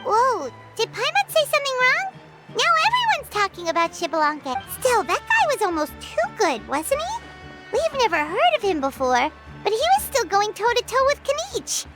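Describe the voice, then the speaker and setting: A woman voicing a tiny floating female companion in a video game, shrill and theatrical with big exclamations, over loud game music. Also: wildly swooping pitch, normal speed, heavily acted. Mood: puzzled.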